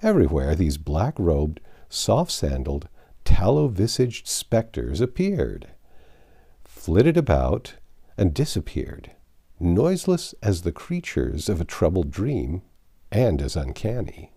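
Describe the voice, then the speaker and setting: Male audiobook narrator, lowish mellow voice, expressive literary read. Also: slow pace, breathy edge, no room sound, studio quality.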